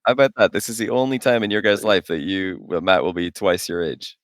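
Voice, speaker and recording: A man in his late twenties with a deep voice co-hosts a gaming podcast. He talks in a casual, conversational way. The sound is dry, with no room around it. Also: steady tone, brisk, no room sound. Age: late 20s. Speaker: podcast co-host.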